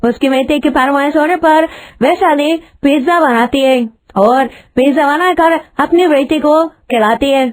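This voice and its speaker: A female narrator telling a scripted story. Her voice is clearly high in pitch, and she delivers the lines as storytelling narration.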